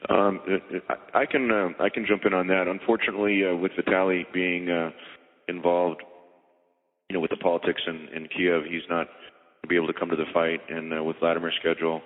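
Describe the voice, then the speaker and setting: A man speaking as a boxing promoter, giving measured, halting explanations on a media conference call. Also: low voice, level tone. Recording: tinny phone-line conference call with reverb.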